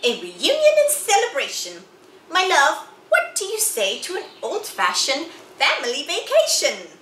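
Woman putting on a high, coaxing lady moose. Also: huge pitch swings, unhurried, sharp tone, heavily acted. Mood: coaxing, upbeat. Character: devoted, cheerful lady moose wife, soothing and eager. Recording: roomy sound with faint background noise.

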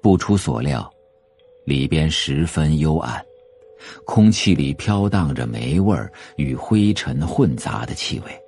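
A man narrating a novel for an audio drama in a measured, atmospheric storytelling manner. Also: extremely low pitch, animated, gravelly, darkish tone, husky.

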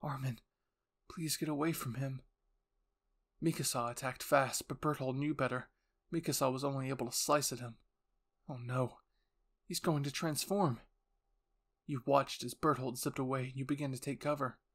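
A man with a medium-pitched voice narrates a scripted roleplay. He tells the story in the second person, with dramatic storytelling delivery. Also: fairly even intonation, normal speed, fairly bright tone. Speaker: narrator and channel host.

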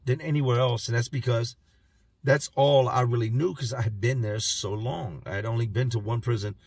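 A man in his thirties with a raspy voice, vlogging bluntly from a parked car. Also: mid-range voice, fairly even intonation, moderate pace, darkish tone, no echo. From Virginia, US. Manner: blunt, plain-spoken street talk. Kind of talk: casual talking-head vlog. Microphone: phone camera at arm's length.

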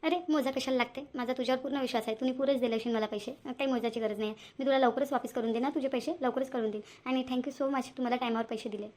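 A woman's voice: high-pitched, steady tone, fast, hardly any pauses, a little mellow, lightly acted. A woman voicing a scheming, wheedling young cartoon character who repeats herself, speaking Marathi with a Varhadi accent from Vidarbha. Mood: sweetly persuasive. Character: scheming young woman sweet-talking for money. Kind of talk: amateur cartoon voice-over.

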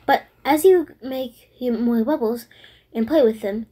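A woman with a slightly husky voice giving simple step-by-step instructions as a voice-over for a children's craft. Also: on the high side, unhurried, warm tone.

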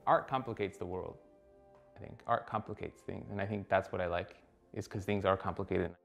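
A man's voice: low voice, brisk, vocal fry, fairly bright tone, faint music behind. A man in his thirties from Costa Rica, an artist talking reflectively in a documentary interview, with many fillers and restarts.

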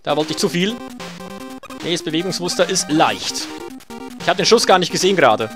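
A man's voice: fairly high pitch, animated, a little fast. A man giving casual, sarcastic commentary while playing a retro game, swearing when he gets hit. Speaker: let's play gamer. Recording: game music behind voice. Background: faint game music.

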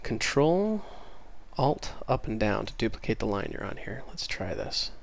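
A man with a congested voice from a cold, casually talking through a coding tutorial while typing, chatty and easily excited. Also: fairly low pitch, measured pace, creaky, a little hiss or hum. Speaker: programming tutorial host.

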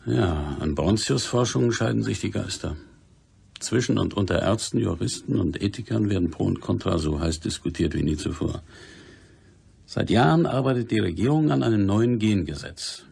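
An actor playing a dry, sardonic newspaper chief with a gravelly, husky voice in a radio crime play. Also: male voice, on the low side, expressive, normal speed, darkish tone, heavily acted.

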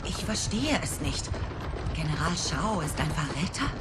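An actress playing a stern, loyal royal guard warrior burdened by past failure, in dramatic video game dialogue. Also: female voice, low voice, big pitch swings, a little slow, very noisy. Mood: grave, troubled. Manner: solemn, resolute.

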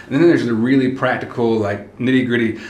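A man's voice: mid-range voice, fairly even intonation, brisk, darkish tone, echoey room, a little hiss or hum. A pastor from Alabama reflecting on his studies in a casual, searching way, standing in a church atrium. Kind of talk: casual group testimonial.

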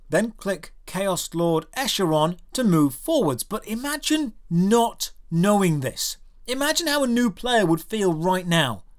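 A man narrating a scripted video game review in a wry, exasperated way, putting rhetorical questions to the viewer. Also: sits high.

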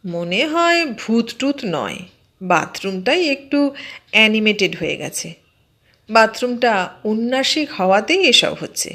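A woman voicing a mild, stubbornly sceptical man in an audio story, explaining things in a measured, matter-of-fact way. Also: on the high side, big pitch swings, sharp tone, exaggerated voice. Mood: calm, unruffled.

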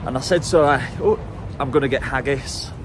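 Young man, casual travel vlog, playful and cheeky, handheld camera outdoors, loud street noise. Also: mid-range voice, brisk. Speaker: travel vlogger. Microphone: handheld camera at arm's length.

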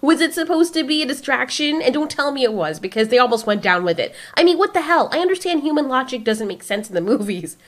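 A woman records casual reaction commentary in a fairly high voice. Her pitch swings around freely. She sounds sarcastic and exasperated as she picks things apart. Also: big pitch swings, quick pace, few pauses. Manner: sarcastic, exasperated, mildly profane. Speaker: reaction video host.

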